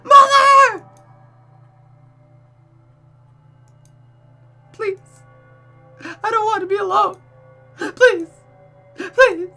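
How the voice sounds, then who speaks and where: A woman's voice: extremely high pitch, slow pace, vocal fry, bright, forceful tone, faint music behind, voice pushed up, heavily acted. A woman voicing a spiteful, unhinged young woman killer from a visual novel, dramatic, pleading and tearful. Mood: unhinged, desperate.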